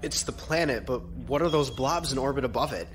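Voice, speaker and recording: A man's voice: medium pitch, fairly quick, lightly acted. A man playing an eager, deferential junior lieutenant in an audio drama, somewhat tentative in delivery. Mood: puzzled.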